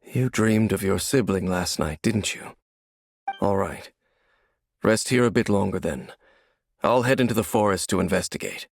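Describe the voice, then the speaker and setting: Aloof immortal game warrior: lowish, gravelly, formal, guarded and dry. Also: male voice, moderate pace, long pauses, no room sound. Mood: quiet, considerate. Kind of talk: video game voice acting.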